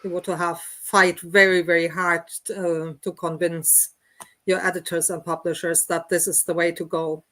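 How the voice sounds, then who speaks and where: A woman's voice: fairly low pitch, little pitch movement, normal speed, a bit bright. A woman discussing books casually, often checking her points with short tag questions. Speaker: host of a book read-along discussion.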